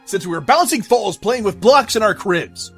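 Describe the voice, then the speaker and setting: A man's voice: sits high, animated, normal speed, creaky, a bit bright, heavily acted. A man voicing an aggrieved, lovestruck unicorn stallion who defies royal law, formal and impassioned, in a fan audio drama. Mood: indignant. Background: music.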